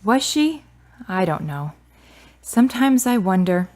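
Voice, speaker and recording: A woman's voice: medium pitch, very animated, measured pace, a little mellow. Woman acting candid, grieving young woman. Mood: subdued, candid. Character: grieving adopted daughter near thirty, candid and restless. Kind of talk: radio drama acting.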